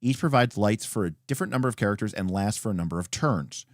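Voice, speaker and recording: A man hosting a read-along, reading a game rulebook aloud in an emphatic, deliberate way with brief asides. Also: lowish voice, expressive, no room sound, clean studio sound. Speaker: host.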